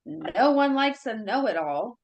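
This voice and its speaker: A woman's voice: a little high, lively intonation, a little slow, low-quality recording. A woman acting a brash, foul-mouthed young woman in a table read of a horror film script. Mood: irritated, combative.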